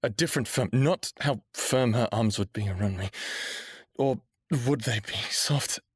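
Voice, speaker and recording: An actor playing a defiant, mouthy human captive who yearns for freedom, in an intense, brooding audio drama style with first-person narration. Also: male voice, mid-range voice, measured pace, husky, dry recording, studio quality. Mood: brooding, introspective.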